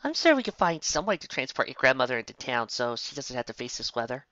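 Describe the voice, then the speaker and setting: A woman voicing a gentle, wise young mare who explains things calmly, in a dull lo-fi home recording. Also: very deep voice, fast, no echo, full character voice. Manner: calm, explaining, reassuring.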